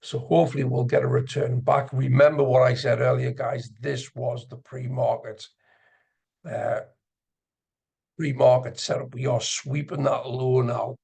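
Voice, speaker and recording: A man giving casual live commentary as an instructor, gravelly and husky, sometimes muttering to himself as he explains. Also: mid-range voice, little pitch movement, a little slow, a little mellow. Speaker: trading instructor and video host. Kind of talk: casual live screen-share commentary on chart trading.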